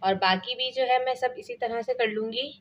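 A woman's voice: on the high side, normal speed, highs cut off. A woman hosting a cooking channel, talking casually step by step through a home recipe in her kitchen, with a Pakistani Urdu accent. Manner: chatty step-by-step explaining, frequent filler phrases.

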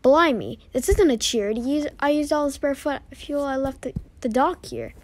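Woman as budgie sea captain, high voice, pirate phrasing, hearty, amateur cartoon. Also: moderate pace, slight background noise, close to an everyday voice. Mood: frustrated. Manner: archaic pirate wording.

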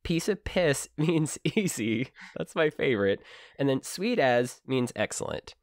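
Brief: male podcast co-host, casual and rambling, clear resonant voice. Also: medium pitch, sing-song, unhurried, dry recording, studio quality. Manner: explanatory, rambling with restarts. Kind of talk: casual chat.

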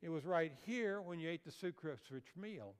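A man's voice: a little high, expressive, normal speed, clear, resonant tone. An older man giving a health seminar lecture on a church auditorium stage, explaining slides and asking the audience questions. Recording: long hall reverb.

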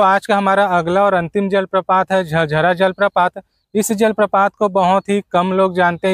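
A man's voice: high-pitched, dry recording, clean studio sound. A man from Chhattisgarh in India, narrating a scripted travel guide and addressing viewers directly as friends.

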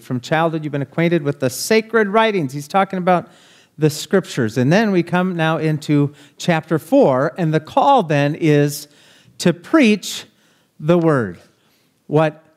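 Pastor preaching from a church pulpit. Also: male voice, on the high side, a little mellow. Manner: reads scripture aloud, then explains it in a conversational preaching tone. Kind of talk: sermon. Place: church sanctuary, speaking from a pulpit. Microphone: pulpit microphone, filmed by a far camera.

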